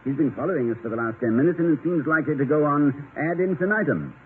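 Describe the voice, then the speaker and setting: A man's voice: a little high, lively intonation, quick pace, lightly acted. Man playing a flustered, wordy professor, tinny radio. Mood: polite, apologetic. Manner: wordy, stammering, exasperated politeness. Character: erudite, flustered, wry college professor husband. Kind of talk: 1950s radio comedy acting. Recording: tinny, noisy 1950 radio recording.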